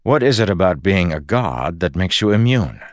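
A man voicing a cocky, determined dead crew leader in an audiobook, with a brisk, insistent and wry manner. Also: deep voice, big pitch swings, no room sound, clean studio sound. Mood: insistent, teasing.

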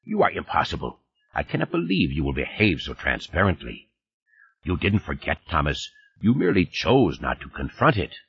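Man acting old man, audiobook character, sarcastic, needling, irritable, husky, very animated intonation. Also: low-pitched, moderate pace, muffled recording, heavily acted. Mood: irritated sarcasm. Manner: ironic, taunting, rhetorical questions, formal unidiomatic phrasing.

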